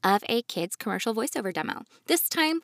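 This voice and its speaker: A woman's voice: a little high, sing-song, a little fast, creaky, a bit bright, dry recording, studio quality. A woman co-hosting an instructional podcast, chatty and explanatory, stressing points by repeating words. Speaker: podcast co-host.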